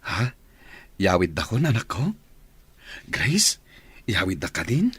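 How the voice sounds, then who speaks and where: A man's voice: fairly low pitch, huge pitch swings, slow pace, a bit bright, slightly rough, dry recording, heavily acted. A man with a Filipino accent acting an affectionate, sweet-talking husband and father in a radio drama. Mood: eager.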